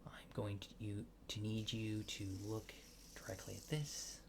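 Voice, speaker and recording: A man doing a soft-spoken, often whispered ASMR roleplay as a casual, polite alien technician, rambling hesitantly in a low-key, reassuring way. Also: a little slow, vocal fry, noisy background. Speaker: ASMR creator.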